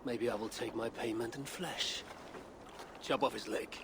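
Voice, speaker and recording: A Norse Viking leader voiced by a man for a video game, mid-pitched and authoritative. He is blunt and controlled, with clear noise in the surroundings. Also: fairly even intonation, a little slow, lightly acted. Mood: cold menace. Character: stern Norse Viking clan leader, commanding, measured.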